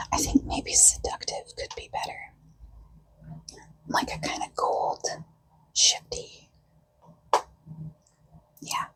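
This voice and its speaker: A woman who has lost her voice to a cold, talking casually in a breathy, husky whisper as she thinks aloud while hosting a hobby vlog. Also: bright, forceful tone, low-quality recording. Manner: informal, unhurried, musing. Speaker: host of a makeup video.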